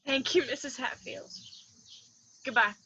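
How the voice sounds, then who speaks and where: A woman's voice: high-pitched, measured pace. A woman playing a proud, heartsick young woman in a radio drama. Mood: wistful.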